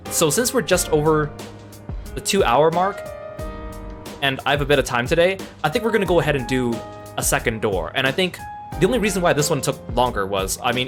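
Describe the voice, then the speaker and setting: A man streaming a video game, chatting casually and thinking out loud about his plans for the session. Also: mid-range voice. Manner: thinks aloud, loose and chatty. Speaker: let's-play streamer. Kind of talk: casual gameplay commentary. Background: video game music.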